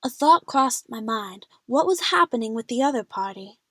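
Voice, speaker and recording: A woman's voice: fairly high pitch, animated, moderate pace, fairly bright tone, no echo, studio quality. A woman playing a frightened young female adventure fan, narrating her own jungle ordeal in a scripted audio drama. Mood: anxious.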